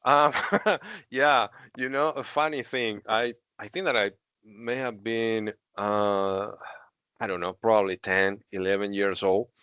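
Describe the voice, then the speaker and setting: A man's voice: lowish voice, steady tone, takes it slowly, pushed and bright, smooth, no echo, lo-fi sound. A middle-aged man from Argentina, a podcast guest who tells stories in casual chat and laughs often. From Argentina, Patagonia. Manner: laughs often while telling stories, many fillers.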